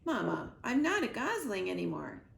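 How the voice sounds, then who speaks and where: A woman's voice: medium pitch, huge pitch swings, normal speed, vocal fry, exaggerated voice. A woman voicing a young gosling son in a children's storybook, impatient and emotional, with a whiny, protesting manner. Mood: indignant, defiant. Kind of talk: children's storybook read-aloud. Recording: roomy echo, noticeable background noise.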